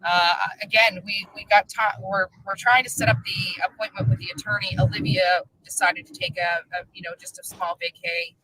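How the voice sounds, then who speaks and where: Woman, sharp bright tone, halting, rambling video talk, faint background noise. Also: medium pitch, steady tone. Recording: noisy background ambience, played from video.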